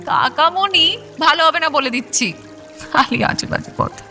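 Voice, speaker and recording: A young, pouting niece in a radio drama. Her voice is high and girlish in its glum complaints, with music clearly behind it. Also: expressive, a little slow, bright, forceful tone, heavily acted. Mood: pouting, annoyed. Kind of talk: Bengali radio drama acting.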